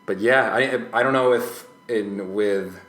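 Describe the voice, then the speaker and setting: A man's voice: fairly low pitch, a little slow, a bit bright. A man chatting casually as a podcast guest, thoughtful and tentative, rambling as he works out his answers. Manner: hesitant, tentative, rambling with hedged phrasing. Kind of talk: casual reflective chat. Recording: roomy echo, faint background noise.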